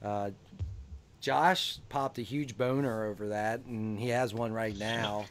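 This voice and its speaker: A man's voice: lowish voice, steady tone, slow. A man from Ohio chatting on a podcast as a guest, laid-back and laughing often. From Ohio, USA. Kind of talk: casual podcast chat.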